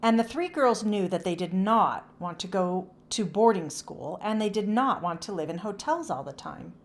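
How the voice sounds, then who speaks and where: Expressive woman reading a children's storybook. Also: mid-range voice, moderate pace. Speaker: children's book read-aloud narrator. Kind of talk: scripted storybook narration for children.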